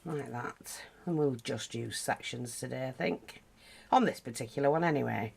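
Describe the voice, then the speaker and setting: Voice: medium pitch, moderate pace, gravelly, a little mellow, slightly rough. British crafting tutor, stuffy nose, rambling friendly delivery. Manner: chatty, rambling with asides. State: blocked nose. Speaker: craft teacher.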